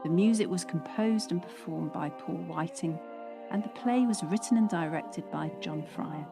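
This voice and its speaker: A woman's voice: fairly low pitch, moderate pace, vocal fry. A woman reading a scripted announcement as the credits announcer of an audio production. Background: music.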